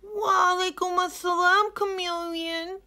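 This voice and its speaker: A woman voicing a gentle young male hippo who is polite and sad, in a high, strained voice. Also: steady tone, measured pace, full character voice. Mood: sorrowful.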